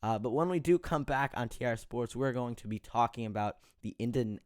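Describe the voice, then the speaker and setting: A man hosting a casual sports podcast, his voice raspy and hoarse, full of fillers and getting louder as he rants. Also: medium pitch, a little fast, dry recording, studio quality. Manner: filler-heavy, gets heated and louder when ranting. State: hoarse, tired voice.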